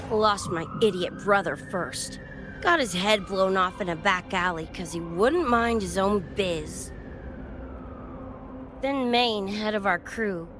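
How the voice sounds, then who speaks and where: A woman's voice: mid-range voice, noisy background. A woman voicing a brash, cocky young mercenary with slangy, streetwise swagger in a video game. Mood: somber, reflective. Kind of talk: animated video game voice acting. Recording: music under the voice.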